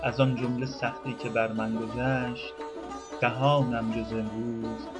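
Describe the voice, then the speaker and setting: A man narrating a scripted radio program in a measured, formal way, slowing down to recite classical verse. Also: medium pitch, flat delivery, music in the background.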